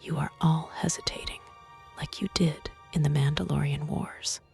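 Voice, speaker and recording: Ai lady as exiled jedi woman in a game, whispery creaky flat voice, questioning. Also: low voice, moderate pace, faint music behind. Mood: questioning, insistent. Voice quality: breathy, whispery. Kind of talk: synthetic video game dialogue.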